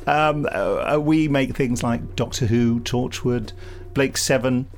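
A man's voice: medium pitch, slow. A middle-aged man with an English accent co-hosting a podcast, rambling playfully between jokey chat and mock-grand scripted announcements. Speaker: podcast co-host.

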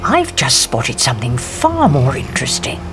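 An actress playing a headstrong, curious professor in a science fiction audio drama, haughty and wry in manner. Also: female voice, extremely low pitch, wildly swooping pitch, moderate pace, over music, heavily acted. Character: headstrong, curious woman professor exploring an alien planet.

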